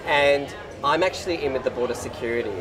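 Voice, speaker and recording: A man's voice: mid-range voice, fast, over loud music, poor audio. A man interviewing two guests in a chatty, playful way. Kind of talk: casual interview. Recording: loud crowd behind.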